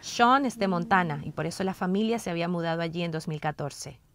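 A woman's voice: lowish voice, fast. A woman reading scripted narration for a news report.

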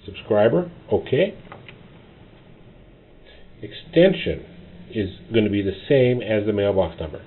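A man narrating a step-by-step tutorial as an instructor, often in short, halting instructional phrases. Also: lowish voice, big pitch swings. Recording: tinny phone-like audio, clear noise.

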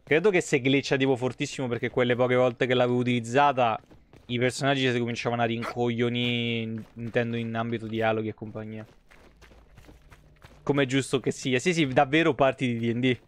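Smooth-voiced Roman man streaming a game. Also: medium pitch, fairly even intonation, a little fast. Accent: Roman Italian. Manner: colloquial, slangy, rambling asides. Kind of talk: casual live game commentary, chatting with viewers.

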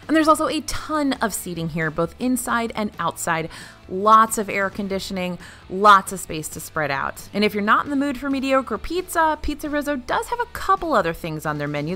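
A woman talks through restaurant picks in a bright, sharp voice. Her pitch swings up and down a lot as she gives her verdicts. Soft music plays underneath. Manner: animated, wry and opinionated, jumps between high and low pitch. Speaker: food reviewer. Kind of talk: chatty review narration. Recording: faint music behind.

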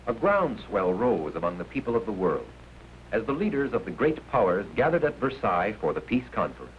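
Deep-voiced 1950s film narrator, male. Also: very animated, a little fast. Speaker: documentary narrator. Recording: dull, noisy 1950s film soundtrack.

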